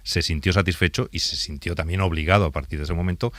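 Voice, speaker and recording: A police inspector from Spain with a Spanish accent, answering interview questions hesitantly, with many fillers. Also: male voice, sits low, fairly even intonation, fast talker, fairly bright tone, no echo.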